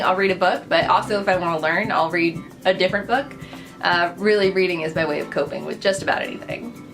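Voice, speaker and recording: A young woman, Dutch by first language, rattles off personal facts in a relaxed, upbeat vlog. She chats casually with lots of little asides and fillers, at an ordinary middle pitch. Clear music plays under her voice throughout. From Netherlands. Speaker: vlogger.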